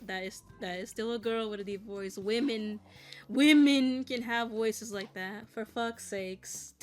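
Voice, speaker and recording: A woman's voice: mid-range voice, fairly bright tone. A woman in her late twenties talking casually and frankly while she plays a game. She vents with a lot of swearing and nervous laughter. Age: 20s. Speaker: content creator. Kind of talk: casual candid talk while gaming.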